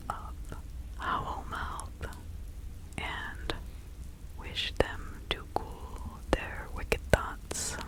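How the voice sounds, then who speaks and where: A woman's voice: a bit bright, rough recording. Woman playing a whispering, fussy, kindly witch healer. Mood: solemn incanting. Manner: soothing, rambling, muttering asides. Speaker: ASMR roleplay performer. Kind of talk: whispered ASMR roleplay.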